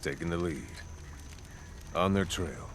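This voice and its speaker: An actor playing a steady military scout and tracker in a video game, terse and matter-of-fact. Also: male voice, deep voice, slow pace, a little hiss or hum. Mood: alert, businesslike. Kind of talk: scripted military game acting. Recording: game audio with loud music.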